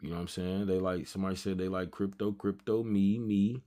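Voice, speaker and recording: A man's voice: sits low, fairly even intonation, fairly quick, mellow. A man in his thirties hosting a trading livestream, talking casually in loose slang and drifting off topic as he chats with viewers. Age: 30s. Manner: loose slangy banter, easily sidetracked. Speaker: trading stream host.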